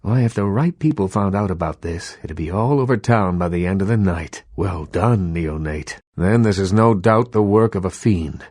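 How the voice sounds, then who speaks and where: An actor playing a proud, old-fashioned vampire baron in a video game, speaking with measured, theatrical, world-weary authority. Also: male voice, deep voice, animated, darkish tone, no room sound, clean studio sound. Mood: grave, scheming. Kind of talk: scripted video game dialogue.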